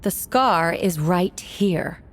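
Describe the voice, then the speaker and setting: A woman's voice: on the low side, animated, drawn-out words, a little hiss or hum. An actress voicing a fierce, sly woman knight with a theatrical, taunting manner in a game cutscene. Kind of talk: dramatic game cutscene acting.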